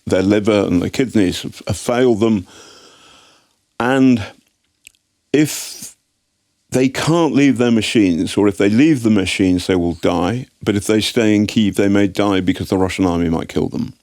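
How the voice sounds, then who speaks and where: A man's voice: fairly low pitch, dry recording, clean studio sound. Wry English man telling war stories. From Britain. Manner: wry, animated storyteller with halting restarts. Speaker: guest journalist. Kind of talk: anecdotal storytelling in interview. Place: TV studio.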